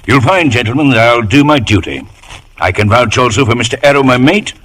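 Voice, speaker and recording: An actor playing a stern, dutiful sea captain, clipped, formal and commanding, in a radio drama. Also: male voice, medium pitch, animated, brisk, a bit bright, natural voice. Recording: faintly noisy 1940s radio recording.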